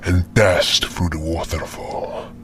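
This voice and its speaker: A man playing a solemn hunter who narrates a fantasy tale of battle in a grave, breathy, deliberate way. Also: deep voice, fairly even intonation, fairly bright tone. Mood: grave, tense. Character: solemn hunter recounting a monster fight. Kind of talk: scripted dramatic fantasy story narration. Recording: music bed behind the voice.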